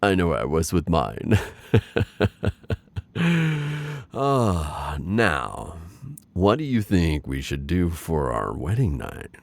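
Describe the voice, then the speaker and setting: A man playing a gloating, lovestruck dark warlord in an intimate roleplay audio, with theatrical, playful authority and lingering pauses. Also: deep voice, very animated, gravelly, heavily acted. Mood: amused, adoring.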